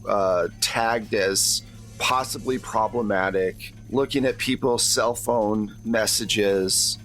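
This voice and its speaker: A man's voice: fairly low pitch, low-quality recording. A man speaking as game master, narrating a tabletop roleplaying game in a conversational way. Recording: clear steady background noise.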